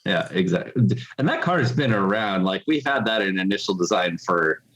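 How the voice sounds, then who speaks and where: A man's voice: sits low, lively intonation, normal speed, a loud crowd, low-quality recording. A man talking casually as an interview guest, telling stories in a wry, teasing way. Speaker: game developer, interview guest.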